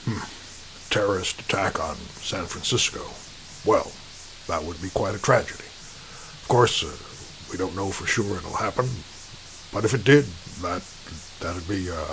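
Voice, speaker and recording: Audio drama president: shifty, calculating, stammering, slightly deep, music underneath. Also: male voice, pushed and bright, low-quality recording. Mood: hesitant, uneasy. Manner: halting, stammering, hedging. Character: evasive, calculating American president, middle-aged man.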